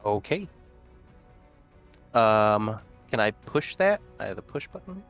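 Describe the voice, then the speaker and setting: A man's voice: fairly low pitch, sing-song, slow pace, a bit bright, faint music behind. A man chatting casually as a player in a tabletop roleplaying game. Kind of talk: casual gaming chat.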